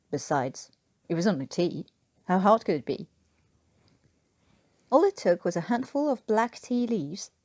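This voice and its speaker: A woman reading a scripted story aloud as an expressive narrator. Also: mid-range voice, no echo, clean studio sound. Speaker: fan fiction narrator.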